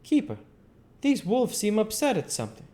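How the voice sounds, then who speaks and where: A man reading the part of a cold, insolent gentleman stranger in a storybook, polite and aloof. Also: high voice, huge pitch swings, normal speed, voice pushed up. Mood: pointed.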